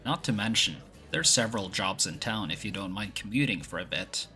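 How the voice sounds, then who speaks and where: An easygoing young clan deputy played by a male voice actor talks with playful good humor. His voice is fairly low but bright and forward, set in an audio roleplay with faint music behind it. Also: lively intonation, brisk. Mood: friendly.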